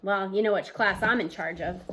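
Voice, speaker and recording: A woman's voice: medium pitch, normal speed, noisy background, pitched below the performer's own voice, close to an everyday voice. A woman voicing a grumpy, burnt-out older male teacher, curt and impatient, in a storybook reading. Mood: curt, defiant. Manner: curt, impatient, tight-lipped.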